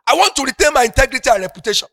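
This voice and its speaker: A middle-aged man with a Yoruba-speaking Nigerian accent preaches a sermon in a high, bright voice. His pitch swings widely as he climbs from quiet talk into loud, impassioned shouting. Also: expressive, rapid-fire, creaky, no room sound, studio quality. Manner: preaching to a congregation, builds from soft talk to loud passionate shouting. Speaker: pastor.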